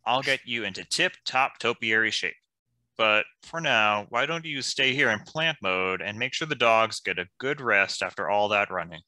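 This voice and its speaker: Man playing a bright, punchy, kindly warrior hero. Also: lowish voice, animated, moderate pace, no room sound. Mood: encouraging. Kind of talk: animated fantasy table read acting.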